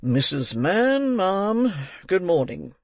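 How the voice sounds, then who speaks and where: A pompous, self-important parish beadle, as a male audiobook reader plays him, laying down stern pronouncements slowly and with weight. His voice rises a little above the reader's own. Close, dry sound. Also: high voice, big pitch swings, no echo, pitched above the performer's own voice, full character voice. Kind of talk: audiobook character reading.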